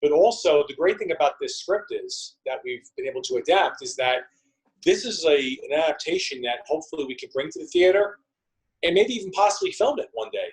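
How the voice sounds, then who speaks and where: A man's voice: fairly high pitch, animated, brisk, poor audio. A man from a theater company giving conversational answers as an interview guest.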